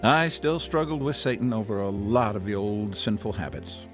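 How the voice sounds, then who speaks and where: A man's voice: fairly low pitch, lively intonation, normal speed, in a large hall, music in the background, natural voice. An actor playing an earnest, preachy reformed man turned missionary, telling his testimony in a radio drama. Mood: earnest, friendly.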